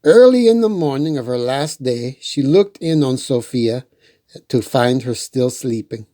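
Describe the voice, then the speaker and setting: Unhurried, mellow storyteller for children. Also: medium pitch, lively intonation. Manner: playful, acts out dialogue. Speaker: storyteller reading a picture book aloud. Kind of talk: children's story read-aloud with chatty asides.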